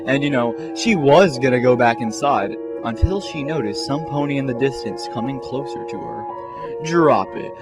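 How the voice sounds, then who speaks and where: A man's voice: mid-range voice, normal speed, hardly any pauses, low-quality recording. A man narrating a comic fan-fiction story in a theatrical, mock-serious way. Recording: music behind the voice.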